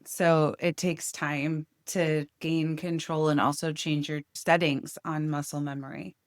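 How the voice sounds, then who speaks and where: A woman hosts an interview and asks long, engaged questions. Her voice is deep for a woman, smooth and resonant, and it is recorded close and dry. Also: level tone, unhurried, no room sound. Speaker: host. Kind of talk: interview conversation.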